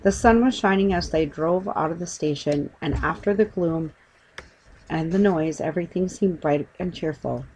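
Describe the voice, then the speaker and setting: Woman audiobook narrator, lowish register, for scripted children's storytelling. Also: normal speed, a little mellow, a little hiss or hum, low-quality recording.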